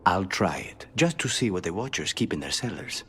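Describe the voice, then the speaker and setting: A dry, brooding fantasy-game assassin. His low voice is understated and wry, yet moves widely in pitch as he delivers deadpan remarks. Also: normal speed, a little hiss or hum, heavily acted.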